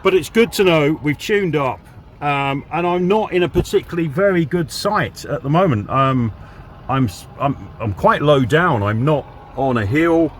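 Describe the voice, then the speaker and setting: A man's voice: a little high, lively intonation, moderate pace, a little hiss or hum. A man from southern England, a CB radio hobbyist, chatting casually with radio jargon and walking through a gear demo. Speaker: CB radio hobbyist and video host.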